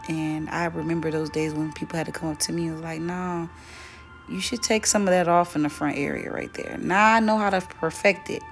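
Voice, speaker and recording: A young woman with a slightly raspy, dry voice, chatting casually through a makeup tutorial. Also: low voice, brisk, faint music behind. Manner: relaxed, chatty, self-correcting. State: voice dry from weather. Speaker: beauty vlogger.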